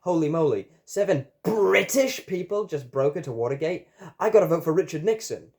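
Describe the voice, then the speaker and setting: A man does a brash, cartoonish American from a comic storybook. The voice is raised, loud and full of exclamation. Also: a little high, lively intonation, brisk, voice pushed up, close to an everyday voice. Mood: astonished.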